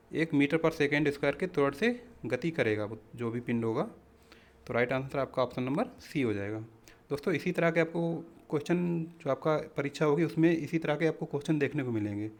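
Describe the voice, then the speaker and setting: Guy with a slightly high voice walking students through practice questions, teacher style, keeps addressing them as friends. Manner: instructional, often addresses listeners as friends. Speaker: exam-prep physics teacher. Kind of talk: explaining practice questions in a lesson.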